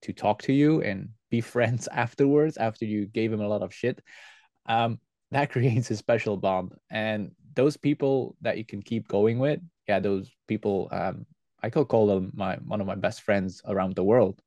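A man's voice: lowish voice, moderate pace, mellow, dry recording. A man from Belgium, answering interview questions as a podcast guest in an earnest, explanatory way. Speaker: podcast guest, airsoft team leader.

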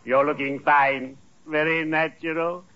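A man's voice: a little high, fairly even intonation, a little slow, natural voice. Man as genial undertaker, solemn formal manner, vintage radio sitcom, very noisy dull recording. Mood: solemnly genial. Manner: solemn, formal, elaborately courteous. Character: morbidly genial, ceremonious undertaker dressed as Santa. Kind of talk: 1940s radio sitcom acting.

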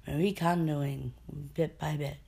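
A woman co-hosting a podcast in casual chat, still recovering from being sick and clearing her throat often, giving short, dry replies. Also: low-pitched, fairly even intonation, measured pace, mellow. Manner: brief agreeing replies, dry asides. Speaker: podcast co-host.

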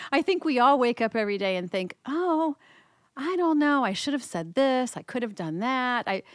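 Woman therapist guest, smooth and friendly, dry room. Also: high voice, animated, moderate pace, dry recording. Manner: friendly, laughs often, thoughtful. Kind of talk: conversational interview answers.

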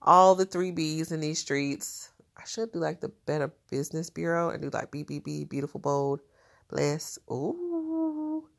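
A woman's voice: sits low, expressive, unhurried, vocal fry. A woman with a nasal, stuffed-up voice talking in a casual diary vlog, chatty and laughing often. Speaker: vlogger.

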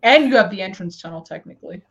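A woman's voice: mid-range voice, expressive, fast, gravelly. A woman from Texas with a mid-range voice co-hosts a casual fan podcast. She rambles along informally. The audio is somewhat dull and lo-fi. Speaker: podcast co-host.

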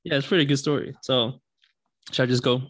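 A man's voice: medium pitch, steady tone, fast talker, dry recording. A young man from Sweden telling a story as a podcast guest, with many fillers and restarts. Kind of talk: casual storytelling.

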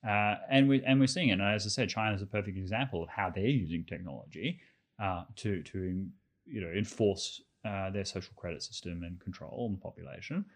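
A man co-hosting a casual discussion, earnest and argumentative, often restarting his words, his voice slightly croaky. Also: deep voice, brisk. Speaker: podcast co-host.

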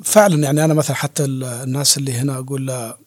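A man's voice: mid-range voice, steady tone, racing, darkish tone, no room sound. A man hosting an interview, chatting casually with his guest. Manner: conversational, many fillers and restarts. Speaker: host.

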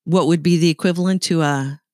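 A woman's voice: low voice, steady tone, fairly quick, a little mellow, no echo, studio quality. A middle-aged woman from Canada with a light Canadian accent, co-hosting a podcast and telling stories in a chatty, laughing manner. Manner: chatty storyteller, laughs often. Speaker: podcast co-host. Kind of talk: casual chat.

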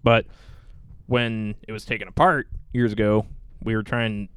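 A man's voice: on the low side, little pitch movement, measured pace, a bit bright, clear, resonant tone, no echo. Blunt casual man on a podcast. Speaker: podcast co-host. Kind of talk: casual banter among friends.

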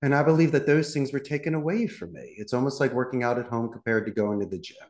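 A man's voice: mid-range voice, fast, darkish tone. Confident middle-aged man in a roomy space. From Philadelphia. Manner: confident, polished, upbeat. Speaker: call center CEO, podcast guest. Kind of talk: podcast interview.

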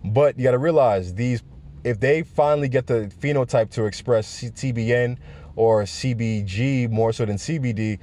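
A deep-voiced man, a podcast host, giving his opinions in a relaxed, conversational monologue and projecting his voice. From South Florida. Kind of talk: casual solo podcast rant.

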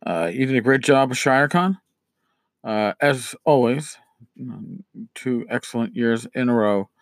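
A middle-aged man hosting a casual solo podcast, his voice scratchy as he loses it, rambling with many pauses and restarts. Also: medium pitch, lively intonation, dry recording, clean studio sound. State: losing his voice, scratchy throat. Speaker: podcast host.

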